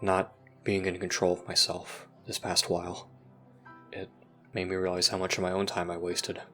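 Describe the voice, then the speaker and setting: A man playing a withdrawn, dry-humoured teenager in an audio roleplay, deadpan and hesitant. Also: low voice, normal speed, soft background music. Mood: wry, wistful.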